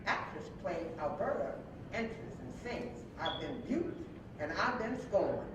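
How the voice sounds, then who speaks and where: Female stage directions reader, scripted delivery, animated intonation, distant mic, noisy echoing hall. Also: medium pitch, a little slow. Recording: very echoey hall, distant mic, clear noise.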